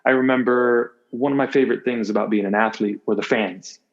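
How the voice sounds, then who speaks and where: A man's voice: medium pitch, steady tone, brisk, highs cut off. A man speaking candidly as an interview guest, telling personal stories conversationally, repeating phrases for emphasis and voicing quotes. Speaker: podcast guest, former athlete and coach.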